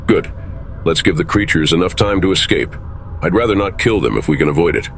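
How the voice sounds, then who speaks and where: A man acting in an audio drama as a calm, principled starship captain, measured and authoritative, with rumble behind him. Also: vocal fry, heavily acted. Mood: thoughtful, decisive. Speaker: lead actor playing a starship captain.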